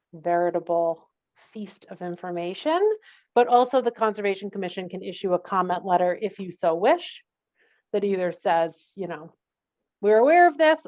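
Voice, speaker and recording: A woman presenting a project at a public meeting, talking in a casual, friendly way. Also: lowish voice. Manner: casual and friendly, plain conversational phrasing. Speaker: project consultant presenting to a commission. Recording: dull lo-fi meeting recording.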